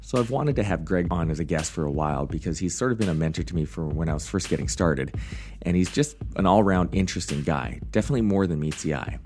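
Male podcast host, deep low voice, casual, rambling, self-deprecating, joking. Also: animated, fast talker, a little mellow, a little hiss or hum.

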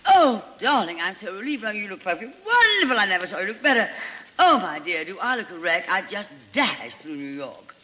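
A woman's voice: medium pitch, big pitch swings, a little fast, bright, forceful tone, breathy edge, heavily acted. Woman playing a gushing, theatrical society actress, swooping dramatically. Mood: gushing chatter. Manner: breathless gushing endearments, dramatic. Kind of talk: old-time radio comedy sketch acting. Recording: muffled old radio broadcast, long hall reverb.

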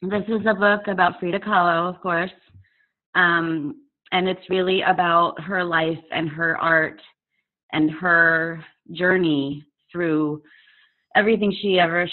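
Tinny-sounding woman in her forties, reflective. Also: fairly low pitch. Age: 40s. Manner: candid, reflective, halting with fillers. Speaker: poet and author guest. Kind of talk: video call interview. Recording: tinny phone-like audio over a video call.

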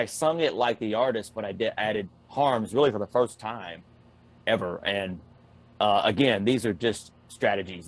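A man guesting on a podcast in casual chat, thoughtful and halting, with many ums. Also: lowish voice.

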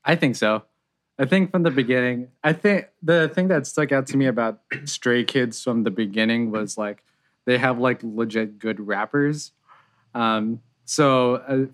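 A man in easygoing conversation, voice in a middle pitch. He talks loosely, with frequent fillers and light laughter. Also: unhurried. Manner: relaxed, laughs often, many fillers. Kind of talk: casual reaction chat.

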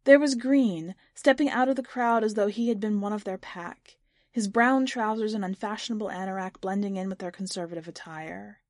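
Female narrator, literary fiction, scripted reading, medium pitch, dry close recording. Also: normal speed, a little mellow, dry recording. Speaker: podcast editor and story narrator.

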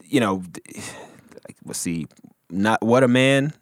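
A man's voice: fairly low pitch, lively intonation, unhurried, no echo, studio quality. A man co-hosting a casual podcast, rambling with lots of fillers and frequent laughter. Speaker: podcast co-host. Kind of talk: casual chat about hip hop.

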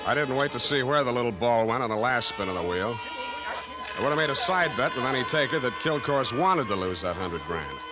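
Actor as cynical American male detective, mid-pitched, deadpan wisecracking narration, 1940s radio drama, loud music behind. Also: fairly quick, highs cut off, lightly acted. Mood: wry, cynical. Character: hardboiled male private detective narrating his case, wry and cynical. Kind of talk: 1940s radio detective acting, scripted first-person narration.

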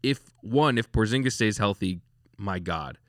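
A man's voice: on the low side, animated, moderate pace, no echo, studio quality. A man hosting a casual sports podcast who rambles with lots of restarts and laughs easily. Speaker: podcast host.

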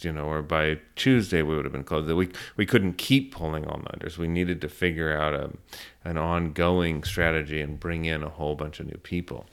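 A man's voice: a bass voice, vocal fry, fairly bright tone. A middle-aged American man telling a story conversationally in an interview, earnest and reflective, circling back over phrases for emphasis. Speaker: small business owner being interviewed.

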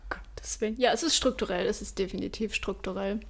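A woman's voice: mid-range voice, fairly quick. A woman in her early twenties co-hosting a casual podcast chat, laughing often and mixing in English slang. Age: 20s. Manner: giggly, mixes English slang. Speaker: podcast co-host.

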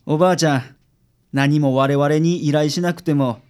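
Man acting as private detective, Kansai accent, fairly high male voice, confident, measured, radio drama. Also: fairly even intonation, darkish tone, clear, resonant tone, lightly acted. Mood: calm, formal. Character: confident, frank male private detective reporting calmly to a client. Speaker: amateur radio drama actor.

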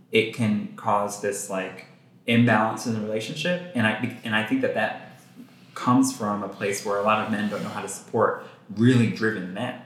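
A man's voice: on the low side, a bit bright, breathy edge, poor audio. A man hosting a casual podcast, teasing his guests and pushing the chat along. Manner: bossy, teasing, keeps things moving. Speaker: podcast host.

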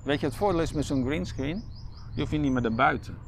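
A man's voice: a little high, fast, creaky, a little hiss or hum. A man with a Netherlands Dutch accent co-hosting a podcast in casual, conversational chat, explaining things as he goes. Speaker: podcast co-host.

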